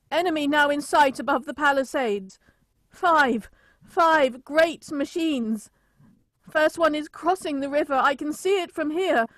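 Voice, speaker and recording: A woman playing a grave, solemn radio reporter giving a deliberate eyewitness account in a radio drama. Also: high voice, a bit bright, no room sound. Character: grave radio reporter narrating a city's destruction from a rooftop. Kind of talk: radio drama acting, live news report.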